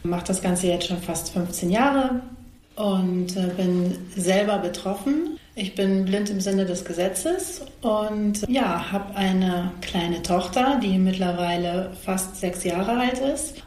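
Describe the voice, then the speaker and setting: A woman's voice: medium pitch, slow, a little mellow. A woman in her forties giving conversational answers as an interview guest. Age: 40s. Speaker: interview guest, social worker. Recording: echoey room, faint background noise.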